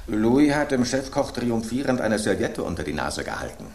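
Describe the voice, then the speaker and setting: An actor playing a gossiping loaf of bread that spreads dramatic kitchen rumours in a radio play. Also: male voice, medium pitch, big pitch swings, moderate pace, a little mellow, reverberant. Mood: gossipy.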